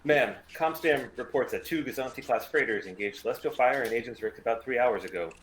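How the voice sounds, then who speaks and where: A man's voice: medium pitch, fairly quick, a bit bright, reverberant, a little hiss or hum, lightly acted, rough recording. A man playing a dutiful military lieutenant giving a formal, clipped report at a table read.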